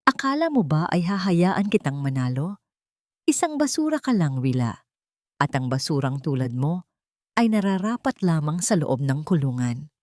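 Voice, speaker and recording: A woman's voice: low voice, big pitch swings, normal speed, a little mellow, dry recording, clean studio sound. A woman voicing a vicious, snobbish female rival in a story, taunting and contemptuous.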